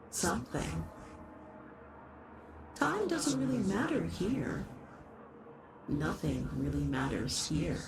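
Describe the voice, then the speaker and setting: A performer voicing a lovesick shapeshifting horror in a roleplay monologue, doting and possessive, halting, with soft menace in the endearments. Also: medium pitch, vocal fry, noisy background, full character voice. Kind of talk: dramatic roleplay monologue addressed to the listener.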